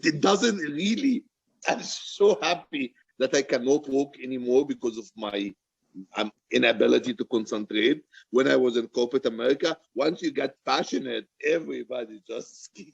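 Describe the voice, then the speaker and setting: A man's voice: mid-range voice, normal speed, creaky, husky, dry recording, dull audio. A man, an author, talking candidly and passionately about his writing, emphatic and often repeating himself for stress. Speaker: author and poet answering audience questions. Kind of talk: animated, candid book-reading discussion.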